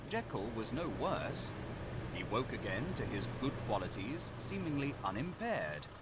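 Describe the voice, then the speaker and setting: A man playing a grave, scholarly Victorian gentleman confessing his secret evil in a formal, theatrical audiobook narration. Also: mid-range voice, sing-song, measured pace. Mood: grave confession. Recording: loud vehicle and machinery noise behind. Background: vehicle and machinery noise from a video game.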